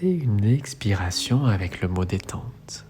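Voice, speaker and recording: Slow, low-voiced man guiding meditation. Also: expressive. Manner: soothing, instructional, with measured phrasing. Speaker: guided meditation instructor. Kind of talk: guided relaxation meditation, yoga nidra. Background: soft music.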